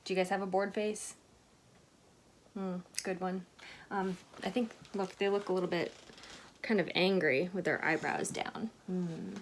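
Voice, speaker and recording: A woman reading a picture book aloud to children in an expressive way, with chatty asides to her young listeners. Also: on the low side, unhurried, pauses often, slight background noise. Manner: animated, expressive storytelling with questions to listeners.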